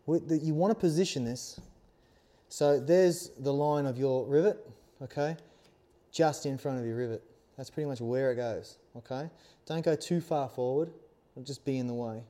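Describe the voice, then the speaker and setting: A middle-pitched man in his forties teaches a practical task. His voice is soft-edged and dark rather than sharp. He speaks informally and reassuringly, breaking his instructions up with little check-in questions. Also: moderate pace. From Australia. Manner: informal, reassuring, often checks with "okay?" and "all right?". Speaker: instructor, helmet accessory maker. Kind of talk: casual hands-on tutorial. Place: small workshop studio indoors, seated at workbench.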